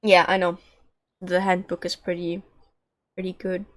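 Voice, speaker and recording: Casual, slightly low-voiced woman streamer. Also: completely flat delivery, measured pace. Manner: informal, rambling. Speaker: game streamer. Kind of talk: casual livestream chat. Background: game music.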